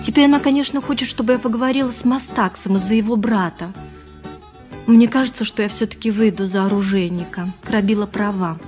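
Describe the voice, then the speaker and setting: Actress as a musing milliner, music behind. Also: female voice, mid-range voice, fairly quick, natural voice. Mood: puzzled, musing. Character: thoughtful, simple milliner weighing a suitor. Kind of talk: 1980s radio play narration and dialogue.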